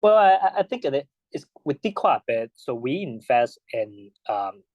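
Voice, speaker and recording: A man in his thirties from Hong Kong, a guest answering interview questions in a halting way with many restarts and fillers. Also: mid-range voice, sing-song, dry recording, muffled recording. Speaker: guest, investor.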